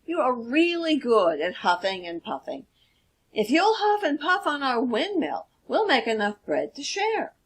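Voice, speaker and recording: A woman's voice: high voice, big pitch swings, a little slow, husky, pitched above the performer's own voice, full character voice. A woman playing a bold, proud, educated female pig in a children's storybook, speaking assertively and defiantly. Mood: eager, persuasive. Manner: assertive, declaiming. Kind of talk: children's storybook read-aloud.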